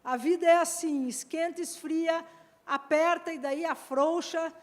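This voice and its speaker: High-voiced woman giving a law lecture. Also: fairly even intonation, measured pace. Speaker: law professor and panel speaker. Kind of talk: academic conference talk. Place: seminar hall.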